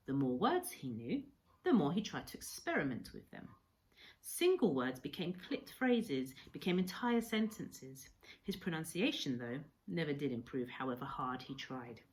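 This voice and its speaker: Female story reader, lower register, very animated intonation, deliberate emphatic delivery for children. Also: low-quality recording. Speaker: teacher reading a story to pupils. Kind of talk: expressive children's audiobook-style storytelling.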